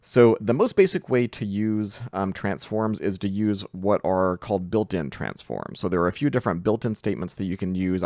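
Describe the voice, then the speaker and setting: Man, tutorial instructor, deep gravelly voice, lively intonation, informal, hesitant delivery. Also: dry recording, clean studio sound. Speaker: tutorial host, coding instructor. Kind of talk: informal screen-share software tutorial.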